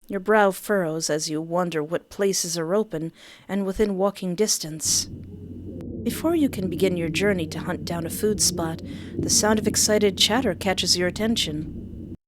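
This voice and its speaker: A woman's voice: on the low side, moderate pace, slight background noise. Playful woman doing a let's-play. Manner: playful, expressive. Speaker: game streamer reading the narration aloud. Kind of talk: playful let's-play commentary and read-aloud narration.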